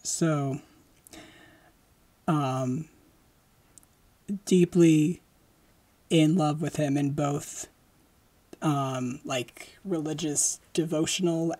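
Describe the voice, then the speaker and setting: A young adult podcast host chatting casually at home into a close studio mic, halting with fillers and restarts. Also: medium pitch. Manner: speaks slowly with lively hand gestures. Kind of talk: casual solo podcast chat. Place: decorated home room, indoors. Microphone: large studio mic close in front.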